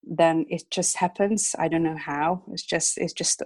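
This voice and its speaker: A woman in her thirties from Hungary, a Hungarian speaker talking in English, is a guest illustrator chatting in an interview, with many fillers and soft laughs. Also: sits low, fairly even intonation, measured pace, no room sound. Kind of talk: casual interview chat.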